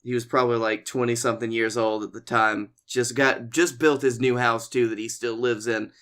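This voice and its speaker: A man with a bright, forward voice talks as a comedy podcast co-host. He rambles through casual anecdotes and plugs with wry, salty asides. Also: medium pitch, fairly even intonation, moderate pace.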